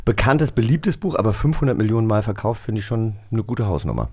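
A man's voice: fairly low pitch, lively intonation, fast, warm tone. Casual male podcast host.

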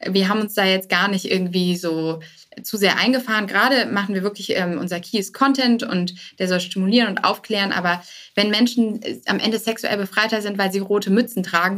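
A woman's voice: medium pitch, fairly quick, a bit bright. A woman speaking casually and candidly as a startup founder being interviewed, mixing English business words into her talk. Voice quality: English business loanwords mixed in.

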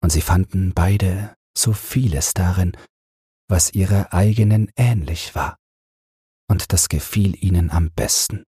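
Deep, very husky male bedtime narrator. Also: no echo, clean studio sound. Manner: calm, soothing, measured. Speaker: audiobook narrator. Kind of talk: slow bedtime fairy tale narration.

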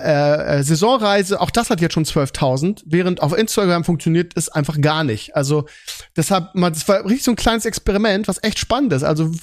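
Male podcast host, German, higher register, loose conversational delivery that circles back on itself. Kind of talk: casual gaming podcast chat.

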